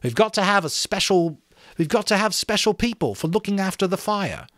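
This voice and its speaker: A man's voice: sits high, lively intonation, a little fast, fairly bright tone, no echo, clean studio sound, pitched above the performer's own voice. A man voicing an earnest, authoritative schoolboy chief with an urgent way of talking, in a storybook reading.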